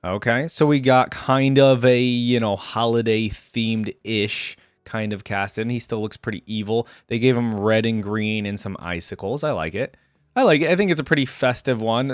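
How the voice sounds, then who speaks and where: A man's voice: fairly low pitch, normal speed, smooth, no echo, clean studio sound. A man hosting a video game reaction, talking informally and conversationally as he thinks aloud. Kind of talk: casual reaction commentary.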